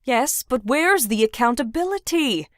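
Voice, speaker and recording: A woman's voice: high voice, lively intonation, measured pace, a bit bright, dry recording, studio quality. A woman voicing a composed, probing television news commentator in a comic fan dub. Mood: critical.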